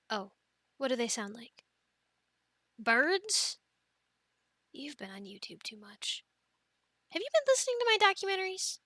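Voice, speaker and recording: A woman playing an affectionate, playful young mother in an ASMR family roleplay, talking gently and haltingly to her children. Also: sits high, no echo, studio quality, heavily acted. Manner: gentle, halting, soft-spoken with playful bursts.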